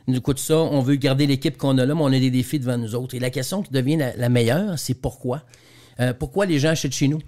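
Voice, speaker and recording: Man, Quebec French accent, mid pitch, explanatory, repeats words, radio interview guest. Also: fast talker, darkish tone. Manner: explanatory, lays out business examples, frequent word repeats. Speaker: trainer and speaker, guest.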